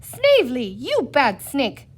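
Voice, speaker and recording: A woman's voice: sits high, huge pitch swings, takes it slowly, husky, exaggerated voice. A woman voicing a plucky, mischievous young girl adventurer in a children's story, eager and commanding. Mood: scolding.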